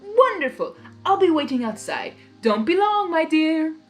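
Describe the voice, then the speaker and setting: A woman's voice: very high-pitched, big pitch swings, measured pace, faint music behind, pitched above the performer's own voice, full character voice. A woman voicing a proud, teasing male chaos creature from a cartoon fan story, in a theatrical, emphatic way. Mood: excited.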